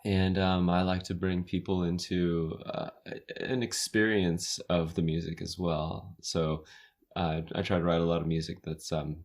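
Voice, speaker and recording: A man from California, with an American accent tinged with Australian, chatting as a guest singer-songwriter in a relaxed, hesitant way full of fillers. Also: sits low, measured pace. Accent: American, slightly Australian. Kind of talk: casual interview chat. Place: his home recording studio.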